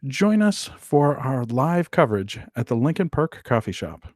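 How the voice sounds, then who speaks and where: Mellow radio news reporter played by a man. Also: medium pitch, big pitch swings, measured pace, no room sound, clean studio sound. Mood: earnest. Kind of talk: scripted radio play narration.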